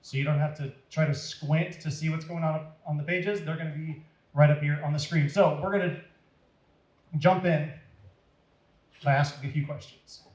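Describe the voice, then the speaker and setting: A man reading a story aloud to children in a deliberate, dramatic, expressive way. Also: fairly high pitch. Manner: deliberate, dramatic, addressing young listeners. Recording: roomy echo.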